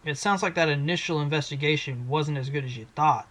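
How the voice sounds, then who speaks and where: A man voicing a wry, sharp-witted fox defense attorney in a fan audio drama, insistent as he questions a witness. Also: a little high, a little fast. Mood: challenging. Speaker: defense attorney cross-examining. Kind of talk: fan audio drama acting with inner monologue. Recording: loud music under the voice. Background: loud music.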